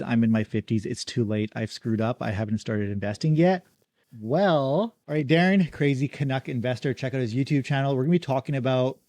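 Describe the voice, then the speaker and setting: Upbeat podcast host, mellow voice. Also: male voice, medium pitch, no room sound. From Canada. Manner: upbeat, quick, leans on "like" and "uh". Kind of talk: casual interview.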